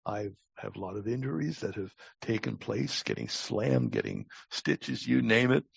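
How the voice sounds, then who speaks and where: A man in his sixties with a gravelly voice, telling stories casually as a podcast guest in a halting, wry way with repeated words. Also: lowish voice, very animated, no echo. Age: 60s. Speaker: podcast guest, longtime lifeguard. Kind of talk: casual interview storytelling. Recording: dull, lo-fi close recording.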